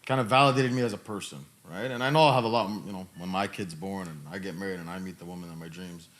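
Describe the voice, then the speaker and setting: Man, thirties, low-pitched, casual podcast talk, emphatic, repetitive phrasing. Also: fast talker, fairly bright tone. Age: 30s. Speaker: podcast host answering questions.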